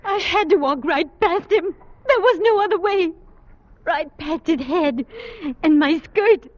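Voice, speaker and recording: A woman's voice: extremely high pitch, animated, unhurried, no echo. An actress playing a fragile young woman in shock, rambling in a distracted, childlike way in an old radio drama. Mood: dazed, wandering. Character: fragile, dazed young woman in shock over her father. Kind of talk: 1940s-50s radio drama acting. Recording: dull old radio broadcast recording.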